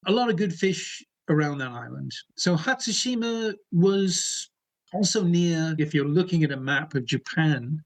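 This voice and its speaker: A middle-aged man, an archaeologist, explaining things in an interview in a relaxed way, with fillers and restarts. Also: fairly high pitch, measured pace. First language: English. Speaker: archaeologist guest.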